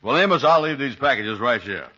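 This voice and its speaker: An actor playing a slow, good-natured, unschooled man in 1940s radio comedy, with a folksy, ungrammatical drawl. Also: mid-range voice, animated, pushed and bright, breathy edge. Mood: cheerful. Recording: dull old radio recording.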